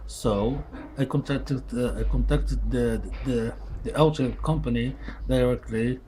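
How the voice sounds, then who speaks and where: A man's voice: medium pitch, a little slow, dark tone. A man whose first language is Arabic, speaking in English with many fillers and restarts, talking casually about a tool in a workshop. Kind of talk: casual product review vlog. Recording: noisy background.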